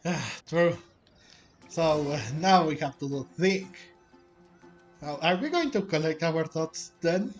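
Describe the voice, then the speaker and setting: A man's voice: a little high, lively intonation, slow, long pauses, faint music behind. A man hosting a game playthrough, reading dialogue aloud and commenting in a rambling, hesitant way. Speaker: gaming video host.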